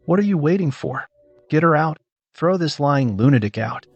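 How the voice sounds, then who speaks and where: A man's voice: fairly high pitch, lively intonation, normal speed, no echo, dull audio. A man playing a scheming, bullying middle-aged company manager in a melodrama, scornful and blustering. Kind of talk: dubbed melodrama acting.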